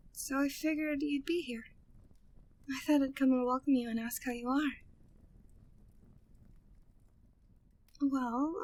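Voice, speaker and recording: A woman's voice: high voice, darkish tone, lightly acted. A woman playing a flirty, devoted, gossipy maid at a manor in an ASMR audio drama, speaking affectionately and teasingly in formal period wording. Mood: welcoming.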